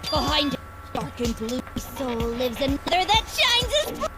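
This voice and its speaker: A gleeful, unhinged teenage murderess in a dubbed anime game. Her extremely high voice swings wildly and stretches words theatrically, with loud music underneath. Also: very animated, moderate pace. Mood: manic, boastful. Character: manic, gleeful female serial killer, teenage split personality. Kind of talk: English anime game dub acting.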